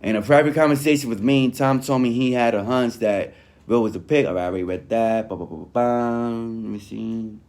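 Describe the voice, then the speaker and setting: A man with a mid-pitched voice reads aloud and breaks off to comment in his own words. His delivery is informal and full of street slang, with frequent little check-in phrases aimed at the listener. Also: fairly quick, a little mellow. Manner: street-slang, conversational, peppered with "you know what I mean". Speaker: online commentator reviewing a written statement.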